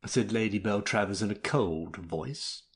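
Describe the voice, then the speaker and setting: A man's voice: on the low side, unhurried, husky. A man narrating a story expressively, with a theatrical, mock-melodramatic delivery.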